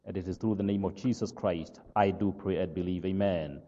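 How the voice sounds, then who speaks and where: A man from Kenya with a Kenyan accent, whose first language is not English, preaching a sermon as pastor. He speaks slowly and haltingly, fills pauses with "uh" and keeps asking "all right?". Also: low-pitched, fairly even intonation, warm tone, big-room sound, lo-fi sound. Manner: slow, halting with many "uh" fillers, checks "all right?".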